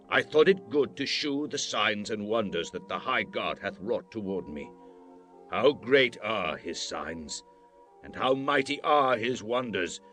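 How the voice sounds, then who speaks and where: Proud ancient king, low voice, grand and declamatory, scripture drama with music underneath. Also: bright, forceful tone. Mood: reverent. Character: proud, wrathful, commanding ancient Babylonian king. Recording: music bed behind the voice.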